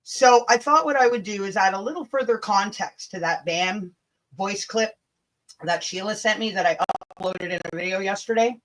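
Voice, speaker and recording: A woman's voice: mid-range voice, a bit bright, poor audio. Sarcastic Canadian woman livestreaming. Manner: sarcastic, sweary, drawn-out emphasis. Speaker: livestream host. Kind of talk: casual, rambling livestream chat with mocking asides.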